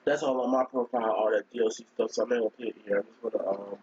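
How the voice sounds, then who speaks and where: A man with a hoarse voice from a cold, husky and rough at the edges. He rambles through a casual live-stream chat and keeps stopping to clear his throat. The sound is dull and lo-fi. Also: fairly low pitch, fairly even intonation, racing, a little mellow. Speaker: gaming streamer.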